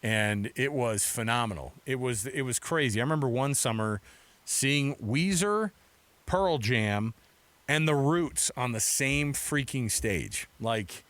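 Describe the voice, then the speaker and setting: A man's voice: mid-range voice, slow pace, a bit bright, dry recording. A middle-aged American man hosting sports radio in a casual, chatty, rambling way from a studio mic. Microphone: close studio microphone.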